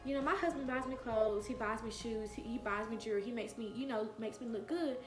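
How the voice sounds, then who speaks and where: A woman's voice: a little high, a little fast, reverberant, soft background music. A woman in her late twenties telling a personal story on her vlog, earnest and emphatic, repeating phrases to drive her point home. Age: 20s. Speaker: vlogger.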